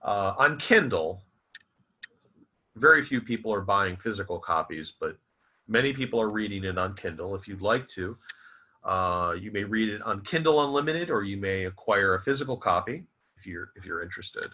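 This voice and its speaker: A man reciting his own literary fiction as its author, in a theatrical, deliberate and emphatic manner. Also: lowish voice, lively intonation, bright, forceful tone. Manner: dramatic, deliberate, emphatic with repeated phrases. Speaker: author reading own novel aloud.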